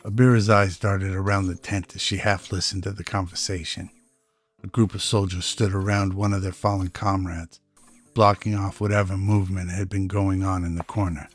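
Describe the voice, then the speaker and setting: A man narrating a sci-fi radio drama as a measured storyteller, in scripted prose. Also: low voice, flat delivery, faint music behind.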